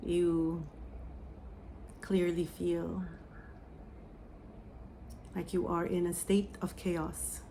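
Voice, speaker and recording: A Filipino-accented woman speaks as a psychic medium, her voice sitting a little low. She talks very slowly and softly, leaving long meditative pauses as she reads cards and channels a message. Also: level tone, gravelly, slight background noise, rough recording. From Philippines. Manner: slow, soft, meditative delivery with pauses. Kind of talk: oracle reading and channeled message.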